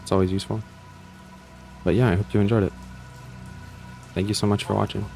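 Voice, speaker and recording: A man's voice: on the low side, level tone, brisk, vocal fry, a little mellow, faint music behind. A man giving casual, unscripted commentary as a channel host, in a hesitant, rambling way.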